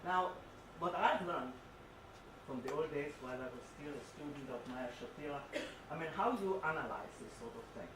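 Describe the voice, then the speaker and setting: A woman's voice: low-pitched, animated, moderate pace, distant mic. Older woman in an echoey, noisy hall. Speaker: audience member commenting on art. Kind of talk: unscripted academic comment.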